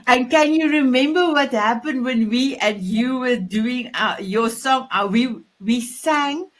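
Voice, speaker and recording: A woman with a South African Afrikaans accent hosting a talk show in a gushing, affectionate, halting way. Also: fairly high pitch. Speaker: show host.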